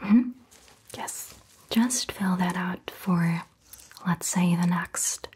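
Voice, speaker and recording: A young woman whispering softly as a doctor in an ASMR roleplay, gentle and reassuring, recorded close to the mic in a small exam-room set. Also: fairly low pitch, a little hiss or hum. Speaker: ASMR creator playing a doctor. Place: indoor set styled as a small doctor's office.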